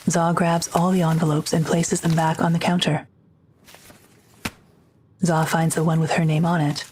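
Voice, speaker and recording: Even, lower-pitched female narrator. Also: moderate pace, slight background noise. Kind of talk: scripted present-tense drama narration.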